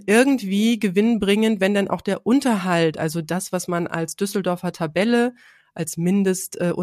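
Brief: woman, slight cold, podcast co-host, explains step by step with many fillers, mid pitch, dry mic. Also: a little mellow, no room sound.

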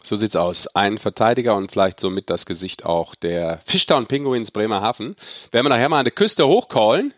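A man's voice: fairly low pitch, lively intonation, fairly quick, no room sound, studio quality. A man hosting a sports podcast in a casual, joking, self-ironic chat style. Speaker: podcast host.

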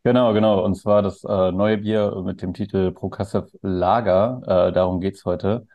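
A man's voice: sits low, fairly even intonation, normal speed, muffled recording. A young man asking questions in a casual interview.

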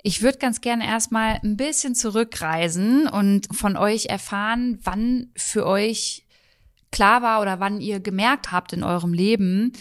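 A woman hosting a podcast interview, recorded indoors in a shop, asking her guests clear, personal questions. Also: mid-range voice, a little slow. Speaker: podcast host.

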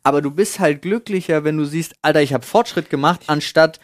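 Higher-voiced man in casual debate. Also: fairly quick, no room sound, studio quality. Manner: debating, informal slangy wording. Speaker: podcast co-host. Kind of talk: casual chat.

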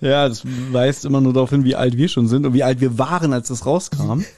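A man's voice: medium pitch, steady tone, brisk, dark tone, no room sound. A middle-aged man co-hosting a podcast, reviewing audio drama in a casual, colloquial and jokey way. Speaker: podcast host.

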